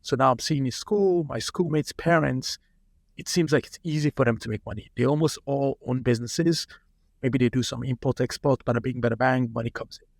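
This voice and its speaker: A man in his late thirties from Nigeria, telling relaxed stories as a founder in a casual podcast interview. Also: medium pitch, brisk, vocal fry, no room sound, clean studio sound. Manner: relaxed anecdotal storyteller. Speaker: startup founder, guest.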